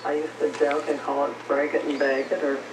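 Monotone preacher over a noisy radio. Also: male voice, on the high side, a little fast. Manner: earnest, repeats words when starting. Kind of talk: religious talk. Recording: radio broadcast through a loudspeaker.

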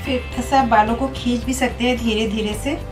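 A young woman calmly walks through a hair care routine step by step, speaking like a beauty vlogger in a small room. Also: on the high side, normal speed, distant mic, music in the background. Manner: calm, instructive, steady. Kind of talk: step-by-step tutorial.

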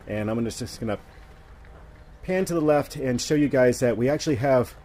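A man's voice: mid-range voice, brisk, mellow, slight background noise. A man narrating a travel vlog and chatting as he walks through a ruined fort outdoors, filming with a handheld camera. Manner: chatty, explains as he walks, laughs now and then. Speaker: travel vlogger. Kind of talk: travel narration and walking tour commentary.

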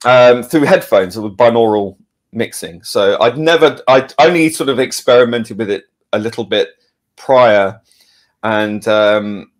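A man chats casually as an interview guest. He speaks haltingly and with some self-deprecation, in a middle-pitched voice, chuckling as he goes. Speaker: audio drama producer and director, podcast guest.

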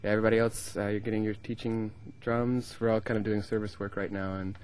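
A man's voice: on the low side, little pitch movement, quick pace, darkish tone, a little hiss or hum. A young man from the United States, a band member, answering interview questions hesitantly with many ums and restarts. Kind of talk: casual interview. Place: indoor festival lounge.

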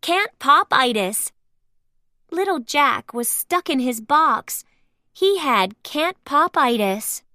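A woman's voice: high-pitched, expressive, slow pace, bright, forceful tone, dry recording, clean studio sound. A woman narrating a children's read-aloud storybook, expressively sounding out each phrase.

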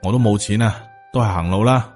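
Stoic Taoist master for an audiobook, read by a man, Hong Kong Cantonese, subdued and lowish, unhurried, faint music. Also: natural voice. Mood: stern, calm. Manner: flat, unhurried, lecturing. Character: stoic, world-weary male Taoist master.

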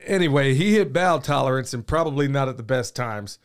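A man, hosting a podcast. He sounds a bit hoarse and talks in a folksy, rambling way. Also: mid-range voice, expressive, fairly quick. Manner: folksy and talkative, says y'all, gestures a lot. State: hoarse, losing his voice. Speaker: podcast host. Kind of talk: casual podcast chat. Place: small podcast studio, indoors. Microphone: studio mic on boom arm, headphones on.

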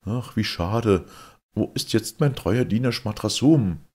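A man's voice: lowish voice, unhurried, a little mellow, slightly rough, pitched above the performer's own voice. A man voicing a shrewd, plain-spoken young soldier in a fairy tale, bold and firm. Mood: wistful.

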